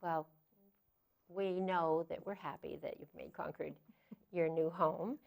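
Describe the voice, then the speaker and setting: A woman hosting a community TV interview show, encouraging her guest with easy, warm questions. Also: fairly low pitch, fairly even intonation, unhurried, gravelly. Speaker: talk show host.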